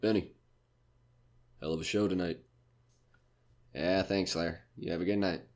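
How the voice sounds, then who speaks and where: A man's voice: deep voice, steady tone, normal speed, close to an everyday voice. A man playing a jaded, foul-mouthed nightclub comedian in an audio drama, brash, curt and grumbling. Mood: weary, polite.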